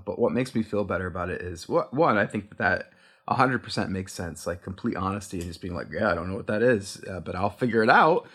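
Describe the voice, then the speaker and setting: Low-pitched male guest, easygoing, wandering sentences. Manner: relaxed, rambling with frequent restarts. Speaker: podcast guest, sound designer. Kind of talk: casual chat.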